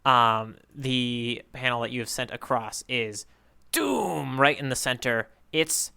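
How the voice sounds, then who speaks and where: A man's voice: mid-range voice, lively intonation, slow pace, gravelly, bright, forceful tone, clean tone. A man co-hosting a podcast in a casual, rambling, playful way. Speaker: podcast co-host. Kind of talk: casual banter about manga.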